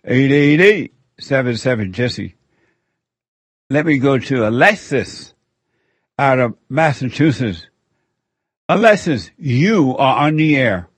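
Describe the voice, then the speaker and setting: A man's voice: mid-range voice, big pitch swings, takes it slowly, long pauses, breathy edge, no room sound. A man hosting a call-in show, talking in a preachy, repetitive way and taking callers. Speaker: radio call-in show host.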